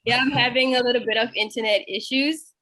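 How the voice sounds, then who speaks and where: A woman's voice: fairly high pitch, fairly even intonation, brisk, sharp tone, no echo, low-quality recording. A young woman, speaking conversationally as a writer on an online panel. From Zimbabwe. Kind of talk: conversational self-introduction on a panel. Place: online video call.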